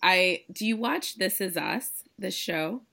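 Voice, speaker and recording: A woman hosting a podcast in casual, chatty conversation, her voice raspy and hoarse from a recent illness. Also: mid-range voice, lively intonation, slow pace, pushed and bright. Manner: chatty, laughing easily, enthusiastic. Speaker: podcast host. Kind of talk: casual chat.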